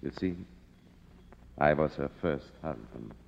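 An actor playing a grave, devoted manservant with formal, measured speech in a 1950s radio drama. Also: male voice, rumbling low, lively intonation, gravelly, loud music behind the voice, heavily acted. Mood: solemn resolve. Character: grave, devoted manservant, once a film director. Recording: muffled, reverberant old radio broadcast.

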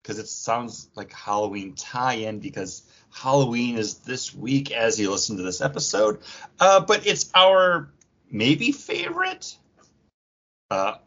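A man hosting a casual podcast chat in a jokey, animated manner. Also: medium pitch, unhurried, highs cut off. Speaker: podcast host.

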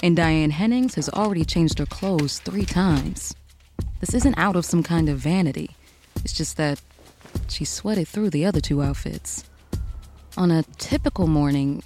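A woman's voice: deep voice, wildly swooping pitch, normal speed, darkish tone, slight background noise. A woman reading scripted, dramatic story narration for a fiction podcast.

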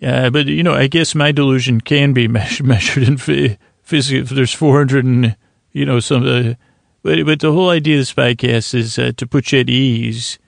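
A male podcast host with a gravelly, creaky edge, recorded close and dry. He delivers a meandering bedtime monologue, halting and doubling back on his phrases in a self-deprecating way. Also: mid-range voice, steady tone, no room sound. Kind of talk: rambling bedtime monologue, meant to lull.

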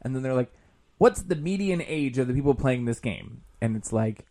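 A man's voice: medium pitch, moderate pace. A man in his thirties co-hosts a casual comedy podcast. His intonation swings widely as he tells stories emphatically, with plenty of swearing. The delivery is loose and conversational. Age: 30s. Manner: animated, swearing, emphatic storytelling. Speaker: podcast co-host.

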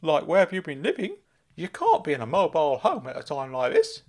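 A squeaky, fussy older uncle. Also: male voice, wildly swooping pitch, brisk, a little mellow, full character voice. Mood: worried. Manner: high-pitched, eager and fussy. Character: excitable older uncle, retired, wearing a mask.

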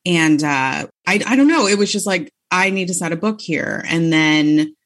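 A woman's voice: lowish voice, lively intonation, normal speed. A woman in her thirties, a guest author in a casual interview, talking in a chatty way with many fillers, restarts and laughs.

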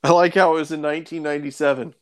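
A man's voice: a little high, fairly even intonation, very fast. A man on a podcast as co-host, giving his opinions in casual conversation.

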